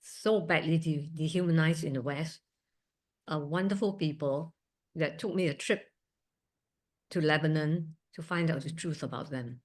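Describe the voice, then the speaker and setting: A woman's voice: sits low, moderate pace, darkish tone. A woman in her sixties from Singapore, a surgeon giving an earnest talk at a museum event with chatty asides. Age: 60s. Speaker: guest speaker, surgeon. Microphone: video call.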